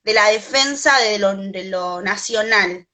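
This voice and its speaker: A woman's voice: medium pitch, a little slow, a loud crowd. A woman from Argentina with an Argentine Spanish accent, a Spanish teacher talking casually and thinking aloud with many fillers. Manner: thoughtful, many fillers and restarts. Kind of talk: casual conversation.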